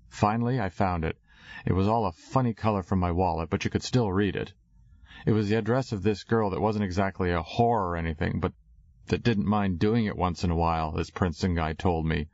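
A man's voice: sits low, no echo, muffled recording. A man reading an audiobook in a slangy, wry first-person storytelling voice. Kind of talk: audiobook narration.

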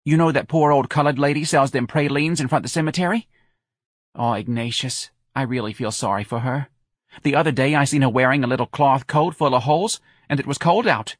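A man voicing a sentimental, fussy older mother, talking in a high, pleading, chatty way. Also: fairly quick, slightly rough, no echo, studio quality, natural voice. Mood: pitying. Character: fussy elderly working-class mother, sentimental.

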